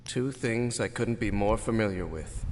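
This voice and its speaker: An actor playing a solemn, world-weary ancient knight in an anime video game, speaking gravely and formally. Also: male voice, fairly low pitch, moderate pace, big-room sound, a little hiss or hum, natural voice. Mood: coolly authoritative.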